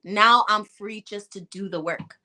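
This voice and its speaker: A woman with a Chicago Puerto Rican accent speaks as a guest in a casual conversation. Her voice is bright and ringing, and she talks with passion and emphasis. She acts out the reactions she quotes. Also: mid-range voice, a little slow. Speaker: actress guest.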